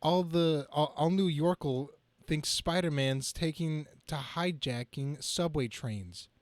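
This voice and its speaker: A harried young superhero from a comic book, read by a man, full of quips and self-pity. The voice sits slightly high and is captured dry, with a melodramatic, stumbling read. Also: slow pace, no room sound. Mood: exasperated. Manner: wisecracking, melodramatic, stumbling reading. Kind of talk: dramatic reading of a comic book.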